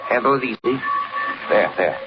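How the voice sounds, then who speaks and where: A man's voice: medium pitch, normal speed, close to an everyday voice. Menacing radio gangster boss, played by a man, dull noisy recording. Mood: scheming, commanding. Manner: bossy, terse, urgent. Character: menacing male gangster boss giving hurried orders. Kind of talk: 1940s radio serial acting.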